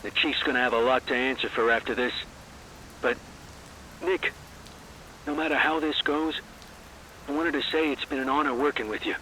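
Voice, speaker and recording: Forceful veteran police marksman played by a man. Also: mid-range voice, normal speed, pauses often, slight background noise, lightly acted, low-quality recording. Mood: steady, encouraging. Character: gruff, loyal veteran male police marksman. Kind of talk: video game drama acting.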